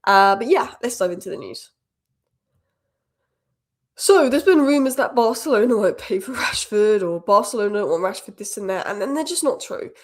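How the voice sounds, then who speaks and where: A woman hosting a football news roundup, a little croaky from a cold, giving opinionated fan commentary. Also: medium pitch, animated, moderate pace. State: has a cold, slightly croaky. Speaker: football channel host. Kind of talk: fast solo news roundup.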